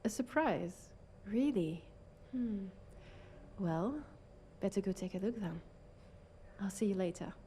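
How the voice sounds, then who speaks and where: A woman with a French accent playing a brusque, sarcastic vampire detective in a scripted audio roleplay, with halting, flustered delivery. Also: mid-range voice, expressive, warm tone, slight background noise. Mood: casual, dry.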